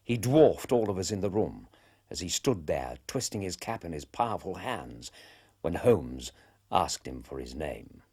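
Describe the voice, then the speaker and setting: Deep husky male narrator. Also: big pitch swings, darkish tone. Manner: measured, descriptive. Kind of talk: scripted narration.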